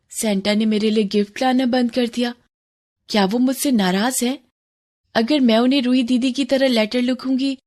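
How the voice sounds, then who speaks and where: A woman's voice: sits high, pitched above the performer's own voice, lightly acted. A woman voicing an innocent, earnest little servant girl in a children's moral-story cartoon.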